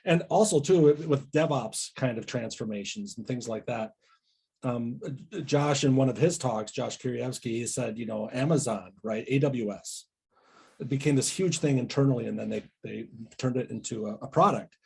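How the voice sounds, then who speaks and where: Husky, mellow man with some creak. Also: mid-range voice, moderate pace. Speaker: agile coach, podcast guest. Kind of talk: casual podcast discussion.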